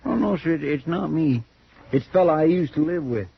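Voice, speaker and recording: An actor playing an earnest, naive frontier deputy with an American rural drawl, deferential and rambling, in a 1950s radio western. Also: male voice, fairly high pitch, lively intonation, a little fast, gravelly, breathy edge, exaggerated voice. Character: folksy, earnest, naive marshal's deputy in Old West. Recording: muffled, noisy old radio recording.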